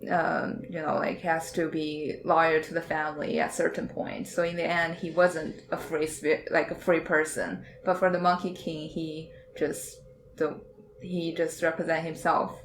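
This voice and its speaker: In an echoey room with faint noise behind her, a woman joins a casual podcast discussion. She speaks in a slightly low voice and hesitates often as she searches for words. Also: little pitch movement, a little slow. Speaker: podcast panelist.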